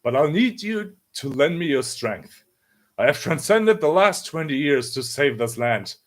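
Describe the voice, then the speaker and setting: A man's voice: on the high side, big pitch swings, measured pace. A man reading as an earnest, resolute boy shogun, speaking in formal, declamatory speeches. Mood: resolute, proud.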